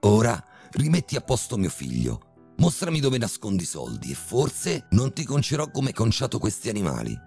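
A man playing a furious, brutal middle-aged criminal father who shouts vulgar threats in a horror story reading. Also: fairly low pitch, huge pitch swings, moderate pace, gravelly, slightly rough, soft background music, voice pushed up, heavily acted. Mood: enraged.

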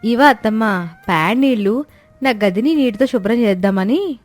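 A woman's voice: on the high side, expressive, normal speed, faint music behind, close to an everyday voice. A woman voicing a polite, cheerful young daughter-in-law in a cartoon moral story. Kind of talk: animated moral-story voice acting.

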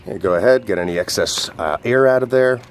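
Low-voiced man giving a casual product demonstration. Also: fairly quick, slight background noise. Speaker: product reviewer and outdoor coach.

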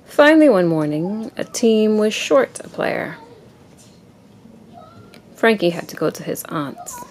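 A woman reading a children's picture book aloud to a child in an expressive storytelling way. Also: medium pitch, takes it slowly. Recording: noisy amateur home recording.

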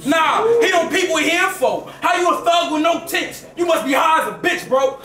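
Young battle rapper, very high voice, shouts and repeats bars on a handheld mic in a roomy, crowded club. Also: male voice, normal speed. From New Jersey. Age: 20s. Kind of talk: rap battle verses. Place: packed indoor club venue.

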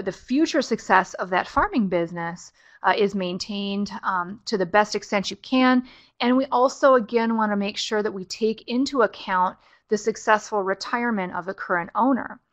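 Middle-aged woman presenter, conversational webinar delivery, frequent fillers, mid-pitched voice. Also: a bit bright. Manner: conversational, many fillers, explains step by step. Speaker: webinar presenter on farm estate planning.

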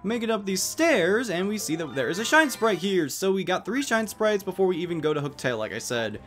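A man's voice: sits high, very animated, fairly quick, a bit bright. A man hosting a let's play with casual video game commentary, tossing in jokey, self-deprecating asides. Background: video game music.

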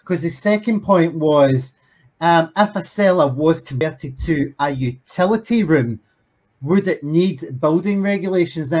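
A man's voice: a little high, a little mellow. A man hosting a webinar over a video call, reading out audience questions with lots of ums and hesitations. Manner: hesitant, filler-heavy, reads questions slowly.

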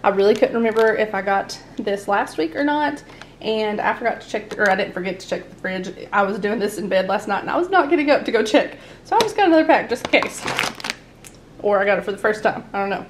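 A woman's voice: medium pitch, animated, fast, noisy background. A woman, chatting casually through a grocery haul at home, rambling and laughing often. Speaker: family vlogger. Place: home dining room, indoors.

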